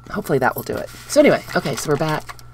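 Chatty woman with a gravelly voice. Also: low voice, very animated, rapid-fire, a little hiss or hum. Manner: chatty, upbeat, talks to viewers while handling papers. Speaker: craft tutorial host. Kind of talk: casual craft demonstration. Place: indoors at a wooden craft table.

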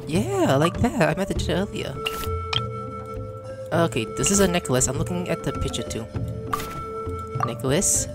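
Man muttering game commentary, lively pitch. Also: moderate pace, long pauses. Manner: muttering, thinking aloud. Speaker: gaming video host. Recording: loud game music behind the voice.

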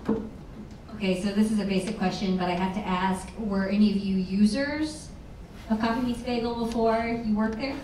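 A woman moderating a panel, asking relaxed conversational questions. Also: mid-range voice, normal speed, slight background noise. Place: large event room with audience. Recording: echoey room recorded from far off.

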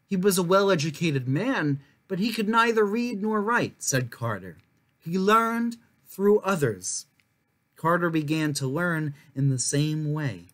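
A man's voice: on the high side, expressive, unhurried, a bit bright. A young adult man reading aloud as a children's storytime host, with careful, emphatic delivery. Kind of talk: reading a picture book aloud to viewers.

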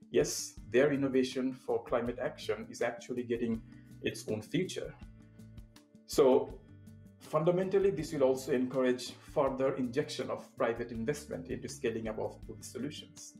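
A man's voice: mid-range voice, moderate pace, warm tone, faint music behind. Young man from Bangladesh, roomy remote talk. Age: young adult. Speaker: sustainability consultant. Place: indoor room with plain wall.

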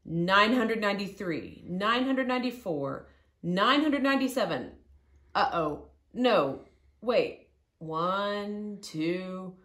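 Flustered old storybook man, acted by a woman, raised pitch, excitable, wide-eyed. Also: takes it slowly, reverberant. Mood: flustered counting. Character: flustered, wondering ninety-nine-year-old man in a storybook.

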